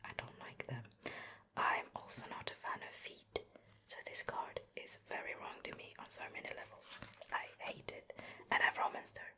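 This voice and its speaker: A native Swedish-speaking woman whispering a soft, breathy ASMR show and tell in a casual, rambling, hesitant way. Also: moderate pace. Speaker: ASMR video host.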